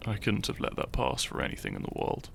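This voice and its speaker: Casting: male actor as shy, earnest hero in fantasy audio drama, halting and theatrical, heavy vocal fry, faint background noise. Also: on the low side, wildly swooping pitch, fairly bright tone, exaggerated voice. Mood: fond, relieved. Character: shy young hero, earnest, secretly fond of his foe. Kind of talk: roleplay audio drama, addressing the listener.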